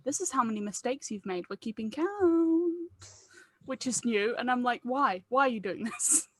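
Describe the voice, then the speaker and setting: A woman's voice: fairly high pitch, expressive, moderate pace, no room sound. A woman directing a table read, chatting casually and giving encouraging, informal feedback. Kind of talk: casual group chat and feedback.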